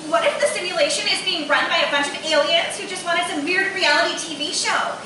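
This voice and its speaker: A woman's voice: very high-pitched, fairly quick. Upbeat, curious female student played by a young woman, conversational radio drama, distant mic and noisy live room. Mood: curious, excited. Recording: echoey, noisy live theatre recording from afar.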